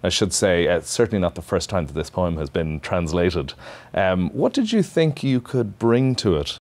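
Deep-voiced male interviewer, stammering. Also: very animated, normal speed, a little mellow. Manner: stammering restarts, polite. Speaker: interviewer, professor. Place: TV studio set.

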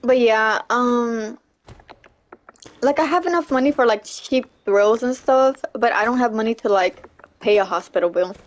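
Chatty young woman livestreamer, slightly high. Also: unhurried. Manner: chatty, laughs often, repeats "you know what I'm saying". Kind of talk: casual chat while gaming, reading chat.

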